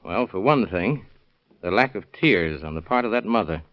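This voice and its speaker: A shrewd, methodical investigator played by a man with a lowish voice. He is dry and deliberate, in a vintage radio drama on a muffled recording. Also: animated, lightly acted. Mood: skeptical. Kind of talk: 1930s radio acting.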